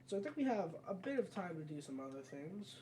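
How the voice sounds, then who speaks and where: A casual let's play commentator with a soft, mellow tone. The talk is conversational and tends to ramble along. It is a home gameplay recording with faint noise behind the voice. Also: mid-range voice, very animated, a little fast.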